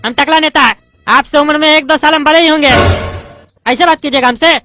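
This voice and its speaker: A voice actor doing a squeaky, touchy bald politician. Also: steady tone, bright, forceful tone, faint music behind. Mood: indignant. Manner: indignant, rapid-fire arguing and lecturing. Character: bald loudmouth male politician student, touchy and argumentative. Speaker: adult student in a class.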